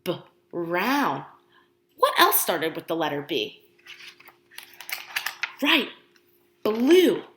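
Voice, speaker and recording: Very animated woman reading to young children. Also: on the low side, reverberant, slight background noise. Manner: expressive, asking questions and pausing for answers; exaggerated, encouraging talk aimed at young children. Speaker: pre-K teacher reading aloud to children. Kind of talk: interactive children's picture book read-aloud.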